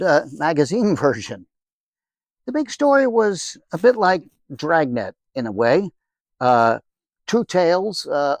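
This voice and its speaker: A man's voice: mid-range voice, no room sound. An American man hosting, delivering a theatrical yet conversational scripted introduction. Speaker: host. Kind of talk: podcast introduction, semi-scripted narration.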